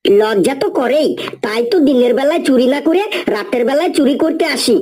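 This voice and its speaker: A woman's voice: sits high, lively intonation, a little fast, warm tone. A woman voicing a shameless, argumentative male burglar in a comic cartoon, talking in a glib and pleading way. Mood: glib, defiant.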